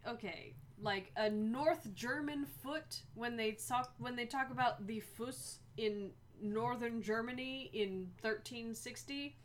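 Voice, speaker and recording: A woman's voice: on the high side, takes it slowly, hardly any pauses, a little hiss or hum. A woman co-hosting a casual chat, rambling with many ums as she explains things in detail.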